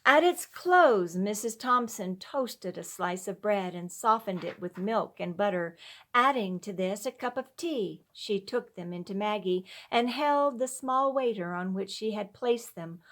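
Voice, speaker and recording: A woman narrating a classic story in an expressive, sentimental, dramatic storybook manner. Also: mid-range voice, a little slow. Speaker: storyteller reading a classic tale. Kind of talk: expressive scripted narration of old-fashioned story.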